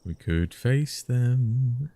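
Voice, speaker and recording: A man live streaming a text game, musing out loud in short casual phrases and sometimes reading the game text. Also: mid-range voice, animated, extremely slow, no room sound. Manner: musing, muttered short phrases, thinking aloud.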